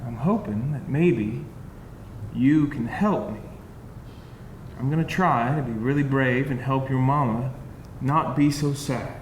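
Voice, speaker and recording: A man playing a gentle, protective grandfather, tender and reassuring, in a live screenplay reading. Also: medium pitch, a little slow, close to an everyday voice. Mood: tender, loving. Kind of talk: live screenplay table read. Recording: roomy live hall, faint noise.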